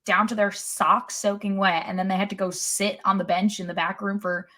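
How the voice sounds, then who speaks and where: A woman's voice: mid-range voice, flat delivery, moderate pace, bright, forceful tone. Wry woman on a sports podcast. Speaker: podcast co-host. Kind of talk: casual sports podcast chat.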